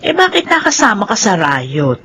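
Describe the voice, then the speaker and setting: Older motherly prison worker, fond and scolding, lively intonation, for Filipino radio drama. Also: medium pitch, normal speed, slight background noise. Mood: worried, scolding. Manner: fussy, animated scolding.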